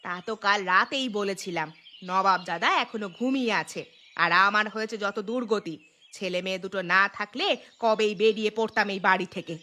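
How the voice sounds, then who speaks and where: A woman's voice: mid-range voice, brisk, pushed and bright, close to an everyday voice. A woman voicing a poor, harried village mother in a cartoon, nagging and complaining as she talks. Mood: bitter, complaining. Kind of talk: cartoon story dialogue. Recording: music behind the voice.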